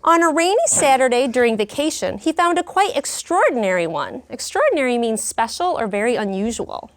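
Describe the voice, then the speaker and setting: A woman's voice: on the high side, sing-song, a little fast. A woman who teaches elementary school, explaining words and lessons in simple, child-friendly terms. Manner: clear, child-friendly explanations with questions to pupils. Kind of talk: reading aloud and teaching young children, explaining her method.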